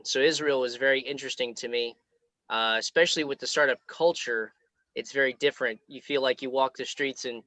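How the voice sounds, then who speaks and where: A young American man from Kansas, an alumnus answering questions on a student panel in a relaxed way with plenty of ums. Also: medium pitch, no room sound. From Kansas, United States. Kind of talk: conversational Q&A answers.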